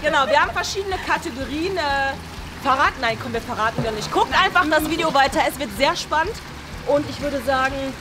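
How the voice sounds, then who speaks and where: A woman's voice: high-pitched, lively intonation, a little fast, heavy background noise. A young woman chattily hosting a casual group vlog to camera inside a swimming pool building. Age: young adult. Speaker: video host. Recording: loud music bed over voice.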